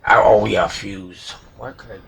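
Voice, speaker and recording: A man's voice: on the low side, steady tone, moderate pace, gravelly, a bit bright. A man in a hobby workshop talking casually to himself as he works, folksy and given to mild swearing, like a repair hobbyist at the bench. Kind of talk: casual muttered talk while working at the bench. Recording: noisy workshop, clicks and handling sounds.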